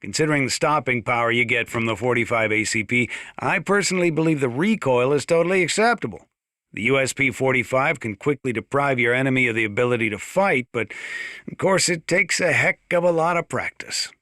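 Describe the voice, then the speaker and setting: An actor playing a gruff, plain-spoken old small-town mountain sheriff with an American accent, talking over the radio in a video game. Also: male voice, medium pitch, sharp tone, dry recording, clean studio sound, close to an everyday voice. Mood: folksy, opinionated. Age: older. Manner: folksy, plain-spoken, drawn-out hesitations. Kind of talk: in-game radio broadcast monologue.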